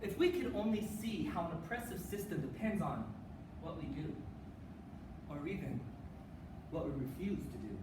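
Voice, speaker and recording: A woman's voice: lowish voice, expressive, moderate pace, long pauses. Young woman performing, distant in echoey room. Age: young adult. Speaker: readers theater performer on a college forensics team. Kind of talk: rehearsed dramatic readers theater speech. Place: indoor room, live stage performance. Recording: echoey room from far off, noisy.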